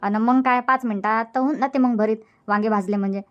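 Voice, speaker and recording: A woman's voice: fairly high pitch, steady tone, fast, lo-fi sound, natural voice. A woman voicing a chatty young village homemaker in a cartoon comedy, speaking colloquial Marathi with a Varhadi accent. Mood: chatty, practical.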